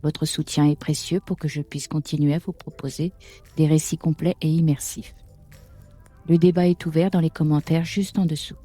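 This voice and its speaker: A man's voice: fairly high pitch, little pitch movement, moderate pace, dark tone, soft background music. A man speaking as channel host, reading a scripted outro to an audiobook.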